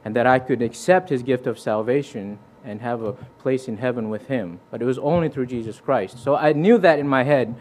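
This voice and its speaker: A young man from Fiji preaches his testimony in a church, earnest and conversational. His voice sits at an ordinary middle pitch and he often restarts his sentences. A faint background noise runs under the recording. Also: moderate pace, few pauses, darkish tone. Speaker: visiting preacher. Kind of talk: sermon with personal testimony.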